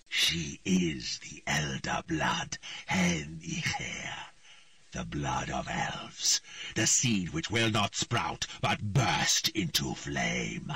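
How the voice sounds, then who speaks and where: A man voicing a cold, hostile, inhuman presence speaking through a young girl, slow and mocking. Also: extremely low pitch, gravelly, pushed and bright, breathy edge, no echo, far from the mic, pitched below the performer's own voice, exaggerated voice.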